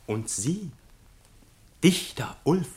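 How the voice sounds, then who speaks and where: An actor playing a pompous, long-winded chairman of a literary society in a German radio play, speaking in a deliberate, oratorical way. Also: a little high, expressive. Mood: pompous, declaiming. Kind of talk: German radio play acting, mock-solemn speech.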